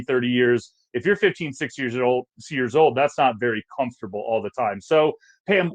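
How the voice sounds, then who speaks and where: Male host, medium pitch, conversational and explanatory, addresses viewers directly and spells points out. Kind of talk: conversational advice show hosting.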